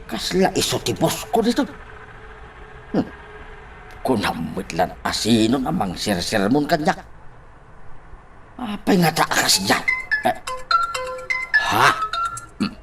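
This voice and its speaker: A man acting an excitable, scheming, muttering character in an Ilocano radio drama, with exaggerated delivery. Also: medium pitch, slow pace, pauses often, full character voice. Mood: sly, muttering. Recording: music bed behind the voice.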